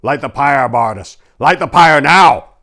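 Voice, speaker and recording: A man voicing a zealous, fierce witch-hunting holy man who shouts urgent commands in a fantasy story. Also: medium pitch, lively intonation, a little slow, pitched above the performer's own voice. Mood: urgent anger.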